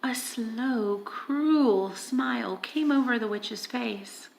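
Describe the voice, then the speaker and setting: A woman reading a children's story aloud as expressive narration. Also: fairly high pitch, slow pace, creaky, rough recording. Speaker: audiobook reader.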